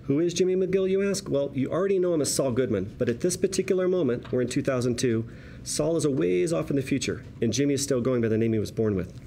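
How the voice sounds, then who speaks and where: A man reading stage directions at a table read as narrator, in a wry, deliberate storytelling manner. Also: mid-range voice, lively intonation, gravelly, warm tone, a little hiss or hum.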